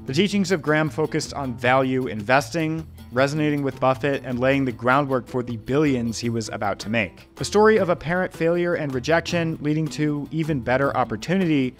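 A young man in his twenties narrating a scripted money-history video from a small home studio, with steady, upbeat explainer delivery into a podcast microphone. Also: fairly high pitch. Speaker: finance video host. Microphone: podcast mic on boom in front.

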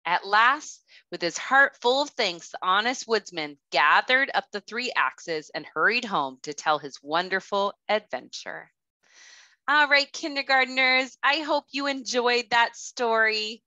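Lady teacher reading a kids story, slow n clear, bright voice, close dry headset sound. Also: a little high, lively intonation, no room sound. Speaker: kindergarten teacher. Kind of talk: children's storybook read-aloud.

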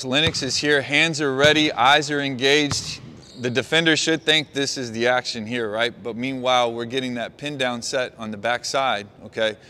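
A middle-aged man, a basketball coach, walking his players through a play on the court and calling out quick praise. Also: mid-range voice, bright, forceful tone. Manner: talks players through a walk-through, calls out praise. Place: large indoor gym.

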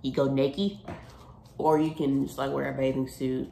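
Young woman vlogger, deep raspy morning voice with fry, rambling casual chat into a phone in her kitchen and car. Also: flat delivery, moderate pace, warm tone, slight background noise. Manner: rambling, chatty, self-interrupting. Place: home kitchen, then parked car. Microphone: phone camera at arm's length.

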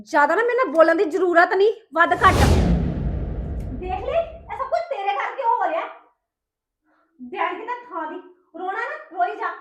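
Woman acting a very high-voiced, bitter young mother. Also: lively intonation, normal speed, pauses often, a bit bright. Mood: defiant, exasperated. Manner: reproachful, tearful, defiant. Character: bitter, anguished young mother rejecting her crying baby daughter. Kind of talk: melodramatic domestic drama acting.

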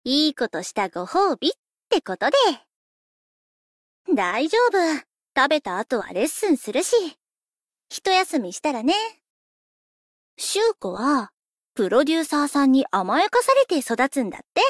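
A young female pop idol from a mobile game, playful and laid-back. Her voice is high and swings melodically as she coaxes and teases in a breezy, sweet way. The recording is dry and close, with no room sound. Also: sing-song, normal speed, long pauses, dry recording. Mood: breezy teasing. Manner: breezy, coaxing, lightly teasing. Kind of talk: scripted game character voice lines.

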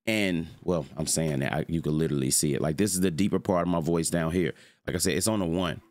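A young man with a deep voice giving a loose, rambling, slangy tutorial on his streaming setup from his home room. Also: quick pace, a little mellow, no echo, clean studio sound. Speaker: streamer showing mic settings. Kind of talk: casual screen-recorded tutorial.

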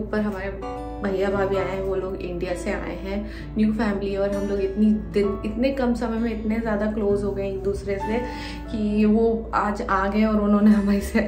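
A chatty Indian woman in her thirties talks to her phone at arm's length, vlogging casually from her bedroom. Her voice sits at a middle pitch, and English phrases keep slipping into her talk. Clear music plays under her the whole time. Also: level tone, normal speed. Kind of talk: casual chat to camera. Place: bedroom at home. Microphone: phone camera at arm's length. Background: music playing.

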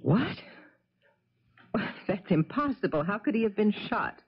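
Snippy defensive scheming wife, 70s radio mystery, dull recording. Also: female voice, mid-range voice, big pitch swings, a little slow, breathy edge, full character voice. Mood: startled, suspicious. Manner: curt, haughty, challenging. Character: sharp-tongued, defensive, scheming widow under police questioning. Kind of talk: 1970s radio mystery acting. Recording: dull, lo-fi 1970s radio broadcast.

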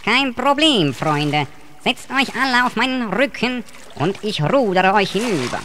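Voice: medium pitch, big pitch swings, measured pace, sharp tone, a little hiss or hum. A young performer voicing a helpful turtle who guides space travellers in an amateur children's audio drama. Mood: helpful, guiding.

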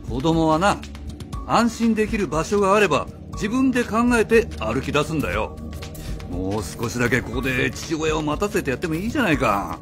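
A man playing a blunt, accusing outsider in a radio drama, confrontational and rough in his speech. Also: on the high side, very animated, fairly quick. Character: blunt, accusing male outsider challenging authority. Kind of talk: Japanese radio drama acting. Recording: faint music behind the voice.

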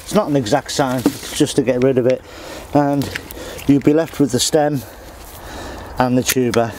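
A man's voice: mid-range voice, steady tone, moderate pace, darkish tone, a little hiss or hum. A middle-aged man, casually showing how he does a garden job while he works, outdoors on an allotment. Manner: plain, practical, talks while working.

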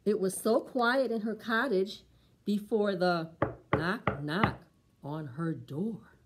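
A middle-aged woman reading a picture book aloud for preschool storytime, with deliberate, dramatic emphasis on sound words. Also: medium pitch, heavy background noise. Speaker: library storytime reader.

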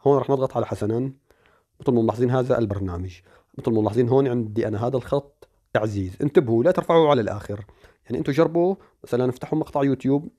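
Fast-talking man with a mellow voice. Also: mid-range voice. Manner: chatty, explaining step by step with fillers. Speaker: tech tutorial host. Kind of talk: casual screen-recorded phone tutorial.

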